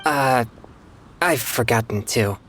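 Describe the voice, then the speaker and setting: Eager, low-voiced teenage boy. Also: slow pace, fairly bright tone, a little hiss or hum, exaggerated voice. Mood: pondering. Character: earnest teenage boy demon hunter, gullible and eager. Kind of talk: video game dialogue acting.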